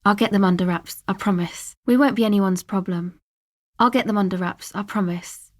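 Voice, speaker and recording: A woman's voice: medium pitch, normal speed, close to an everyday voice. Actress as an offhand, streetwise young woman. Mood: resigned, earnest. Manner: casual, slangy, offhand and dry. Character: young streetwise woman, guarded, laid-back slacker amateur sound recordist. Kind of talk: video game character dialogue, conversational.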